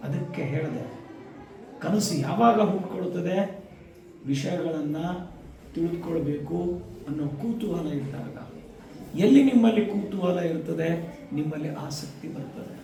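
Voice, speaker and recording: A man giving a motivational speech to students, emphatic, with rhetorical repetition and deliberate pauses. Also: a little high, mellow. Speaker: guest speaker addressing schoolchildren. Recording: echoey, distant microphone, faint noise.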